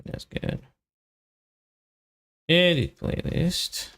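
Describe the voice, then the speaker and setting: A man thinking aloud as he hosts a live coding stream, muttering in halting, half-finished phrases. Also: a little high, wildly swooping pitch, gravelly, a bit bright.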